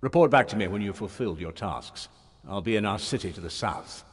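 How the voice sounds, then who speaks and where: An actor playing a sly, condescending shadow warrior from another realm in a fantasy game, his voice husky. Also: on the low side, lively intonation, a little fast. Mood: cold, haughty. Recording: long reverb, music behind.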